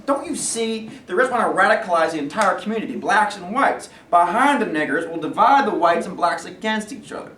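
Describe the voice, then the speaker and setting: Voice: medium pitch, lively intonation, a little fast, hardly any pauses, a bit bright, close to an everyday voice. A performer playing a governor's earnest, stubborn son in a staged play reading. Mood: persuasive. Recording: echoey room, distant, faint noise.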